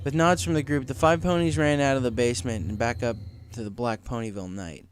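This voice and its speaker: A man's voice: medium pitch, fairly even intonation, brisk, a little hiss or hum. A man reading a scripted story aloud as its narrator. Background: music.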